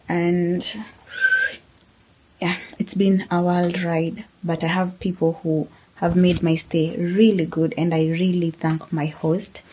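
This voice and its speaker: A woman's voice: lowish voice, mellow, slight background noise, poor audio. A woman chatting casually and earnestly to her viewers as a vlogger, in an informal way. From Kenya. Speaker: vlogger, host.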